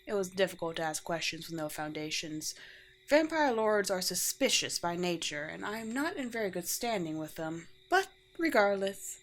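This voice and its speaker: A woman playing a courteous, teasing, world-weary vampire queen in a roleplay audio drama, with formal, old-fashioned and gently wry phrasing. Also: lowish voice, sing-song, normal speed, low-quality recording. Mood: composed, matter-of-fact. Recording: clear background noise with ambience.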